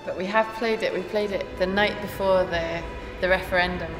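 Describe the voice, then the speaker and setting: A woman's voice: lowish voice, steady tone, moderate pace. Teasing woman in echoey hall. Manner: teasing, chatty. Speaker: musician. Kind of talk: casual interview. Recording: echoey hall, music behind.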